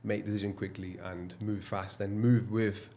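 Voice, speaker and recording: A man with a fairly low voice and Italian as his first language speaks in a casual business interview. He explains things conversationally as an advisor, often tagging his points for agreement. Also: flat delivery, normal speed, dark tone, a little hiss or hum. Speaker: investment advisor, interview guest.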